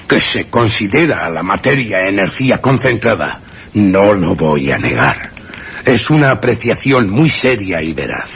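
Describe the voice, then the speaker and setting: An unhinged, arrogant scientist in a Spanish horror radio drama, with a Castilian accent. His gravelly voice moves slowly and swings widely, full of grandiloquent menace and halting pauses. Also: fairly low pitch, a little hiss or hum, full character voice. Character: deranged, arrogant scientist threatening his friend at gunpoint. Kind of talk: Spanish suspense and horror radio theatre acting.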